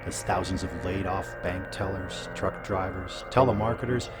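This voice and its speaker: A man playing an all-knowing abyss that gives solemn, deliberate, deadpan advice in a scripted comedy audio drama. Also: low-pitched, close to an everyday voice. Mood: dry, knowing. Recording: loud music bed under voice.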